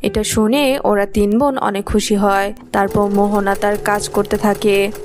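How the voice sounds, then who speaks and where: A woman narrator reading a scripted animated story. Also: mid-range voice, unhurried, soft background music.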